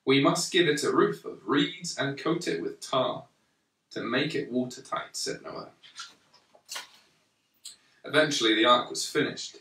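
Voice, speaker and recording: A man reading a children's story aloud in a measured, deliberate way, pausing between phrases. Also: medium pitch, pushed and bright. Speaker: storyteller reading a Bible picture book to a young child. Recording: roomy, echoey, slightly distant microphone.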